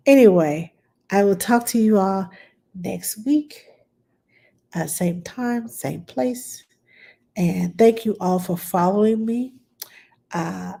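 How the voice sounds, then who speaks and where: A woman's voice: fairly low pitch, vocal fry, warm tone, breathy edge. A middle-aged woman with a stuffed-up nose, chatting plainly and bluntly as a nanny answering viewer questions on a live stream.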